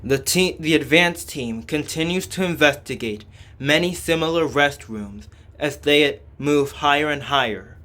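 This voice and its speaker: A man reading a game script aloud dramatically, with slow, deliberate, halting delivery. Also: a little high, sharp tone, slight background noise. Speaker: fan voice-over reader.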